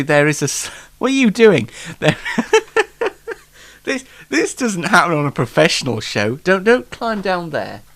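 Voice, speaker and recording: Animated, self-deprecating British man hosting. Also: a little high. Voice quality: congested, bunged-up nose. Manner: self-deprecating, mock-exasperated, rambling. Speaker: host of a homemade online talk show. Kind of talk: casual, unrehearsed solo show chat. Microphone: microphone, speaking alone.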